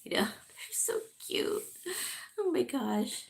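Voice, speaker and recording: A middle-aged woman hosts a relaxed livestream, her voice a little stuffed up from congestion. She rambles in an unhurried, drawn-out way and reads viewer comments aloud as she goes. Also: on the high side, creaky, whispered. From California, USA. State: congested, sniffling. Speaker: livestream host chatting with viewers. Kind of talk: casual livestream chat.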